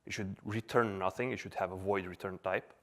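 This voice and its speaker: A man's voice: low voice, fairly even intonation, a little fast, fairly bright tone. A man in his thirties from Serbia giving a casual, chatty conference talk with many filler words, on a stage mic. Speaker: conference speaker. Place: conference hall.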